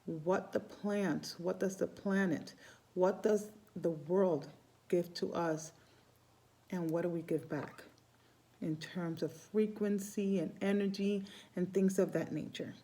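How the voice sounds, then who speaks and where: Rambling woman with a mellow low voice. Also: fairly even intonation, slow. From Cuba. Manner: warm, rambling, restarts phrases often. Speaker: Santeria priestess. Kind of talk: casual spiritual vlog talk.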